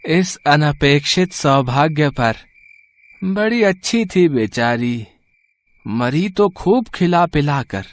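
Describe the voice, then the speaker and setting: A man's voice: on the high side, expressive, dry recording, pitched below the performer's own voice. A man voicing a naive, tipsy, anxious grown son in a story reading, pious and sentimental, with a plaintive questioning manner. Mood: tipsy, grateful. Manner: slow, plaintive, questioning delivery. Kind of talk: dramatized Hindi short-story reading.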